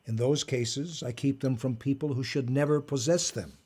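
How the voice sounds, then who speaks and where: Measured, commanding old magician played by a man. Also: medium pitch, fairly even intonation, warm tone, slightly rough, lightly acted. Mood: calm, explanatory. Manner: measured, formal, lecturing. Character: old male magician and artifact collector, commanding, smugly moralizing. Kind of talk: audio drama acting.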